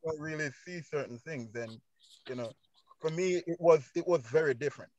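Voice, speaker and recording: A young man with a Jamaican accent telling things casually and haltingly, repeating phrases, on a dull phone video call. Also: fairly high pitch, a little mellow. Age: young adult. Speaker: panelist, business owner. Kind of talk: casual anecdotal talk.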